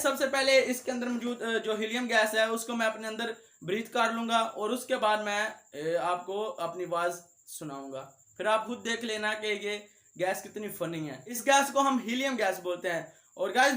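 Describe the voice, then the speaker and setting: High-pitched young man, echoey room. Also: bright, forceful tone. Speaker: video creator, host. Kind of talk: vlog talk to viewers.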